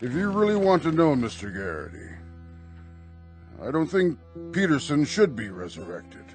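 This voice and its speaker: A man's voice: fairly high pitch, sing-song, moderate pace, soft background music. An actor playing a solemn, self-important town sheriff who hedges to hide his cowardice, in a radio drama. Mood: uneasy, blustering.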